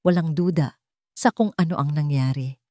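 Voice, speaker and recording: A woman's voice: low-pitched, moderate pace, mellow, no echo, studio quality, natural voice. A woman with a Filipino accent voicing a composed, helpful female daycare director in an audio drama, assured and professional. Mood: helpful, certain.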